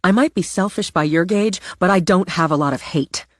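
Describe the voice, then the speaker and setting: A woman voicing a defiant young woman with a sharp, sarcastic delivery, a bit higher than her own voice. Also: a little fast, no echo, clean studio sound, lightly acted. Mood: cutting. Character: young woman, cutting, defiant, proud sister.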